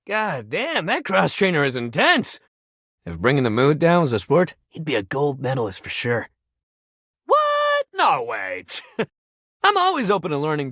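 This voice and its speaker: Upbeat game jock: friendly bro hype, bright forceful delivery, wildly swinging pitch, dry close recording. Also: male voice, no room sound, full character voice. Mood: mildly exasperated. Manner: bro-ish, casual slang, hype-man energy. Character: upbeat, friendly jock sports-equipment guy, hyping up a newcomer. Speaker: video game character. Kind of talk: animated video game voice acting.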